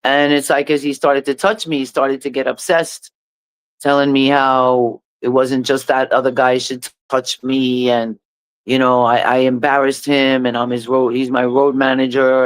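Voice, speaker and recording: Grown woman reggae singer, smooth resonant voice, halting and intense, dry close mic. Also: a bass voice, little pitch movement, no echo. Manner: halting, repeats herself, intense. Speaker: reggae artist, interview guest. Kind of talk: emotional personal testimony.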